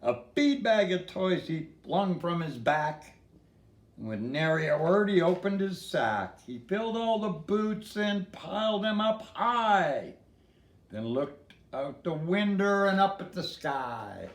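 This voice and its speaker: A man's voice: high voice, big pitch swings, takes it slowly, roomy sound. An older man reading a storybook in verse in a folksy way, with casual asides to a listener.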